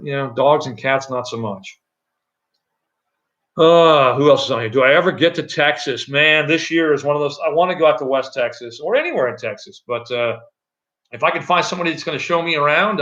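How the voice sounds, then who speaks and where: A middle-aged man with a loud voice, chatting loosely on a live stream from an echoey room at home, with many fillers and restarts. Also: mid-range voice, highs cut off. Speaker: channel host. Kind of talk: casual live-stream chat.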